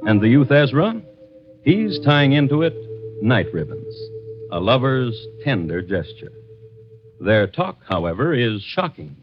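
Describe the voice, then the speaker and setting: A dull old radio recording has guitar music under a man's storytelling. He narrates in a wry, conversational, deliberate way, with a medium voice that rises and falls expressively. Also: medium pitch, very animated.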